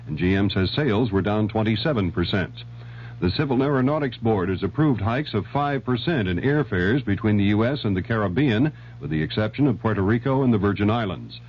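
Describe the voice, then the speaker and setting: A man reading a 1970s radio news bulletin in a husky voice. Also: fairly low pitch. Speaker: radio newsreader. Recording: dull old radio recording.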